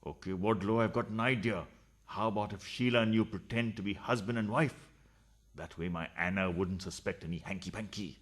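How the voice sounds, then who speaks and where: An actor playing a scheming, flustered husband in a radio farce, hesitant and stammering as he smooth-talks and evades. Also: on the low side, a little fast, close to an everyday voice. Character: scheming, flustered husband hiding his mistress, placating and evasive.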